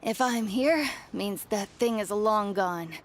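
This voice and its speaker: A woman's voice: mid-range voice, takes it slowly, slight background noise, natural voice. A woman voicing a proud, stoic tribeswoman in a video game, wry and chiding in a familiar way. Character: proud, teasing, wounded but stoic tribeswoman.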